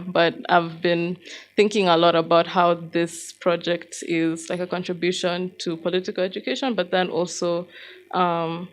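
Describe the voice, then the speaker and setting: A woman casually reflecting in a group discussion, tentative and feeling out her thoughts as she goes. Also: lowish voice. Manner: tentative, thinking aloud. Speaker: writer, former teacher.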